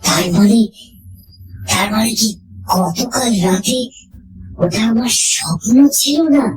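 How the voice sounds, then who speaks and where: A woman narrating a scripted horror story in the first person, suspenseful and dramatic, with deliberate pauses. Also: on the high side, poor audio. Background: music.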